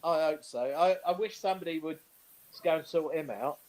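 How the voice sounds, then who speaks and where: High-voiced man in casual sports banter. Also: fairly quick, a little mellow, poor audio. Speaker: sports show panellist.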